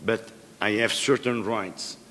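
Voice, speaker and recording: Low-voiced man in a reverberant hall. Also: steady tone, slow pace, fairly bright tone. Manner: hesitant, many fillers and restarts. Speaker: panel expert. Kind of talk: conference panel remarks. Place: large conference hall. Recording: long-reverb conference hall.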